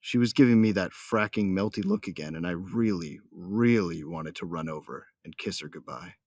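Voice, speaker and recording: A man's voice: low voice, normal speed, a little mellow, dry recording, clean studio sound. A man playing a brooding, lovelorn hunter in a space-saga audio drama, narrating in a restrained, introspective way. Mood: wistful, resolute. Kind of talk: first-person audio drama narration and dialogue.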